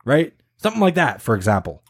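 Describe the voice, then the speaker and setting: A man's voice: medium pitch, sing-song, quick pace, slightly rough. A young man co-hosting a podcast, chatting casually with friends, teasing and laughing often. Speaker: podcast host. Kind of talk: casual banter among friends.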